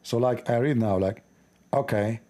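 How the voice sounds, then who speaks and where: A man with an Arabic accent reading aloud haltingly and breaking off for sarcastic, joking commentary. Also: mid-range voice, dark tone. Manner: halting, stumbling reading of English.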